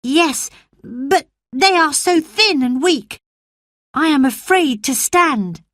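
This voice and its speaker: A high, excited young boy, frail but imperious, as a woman reading the story puts him on. His voice jumps sharply upward when he insists. Slow storybook delivery in a dry recording. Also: dry recording, exaggerated voice. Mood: eager. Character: sickly demanding boy, eager and imperious.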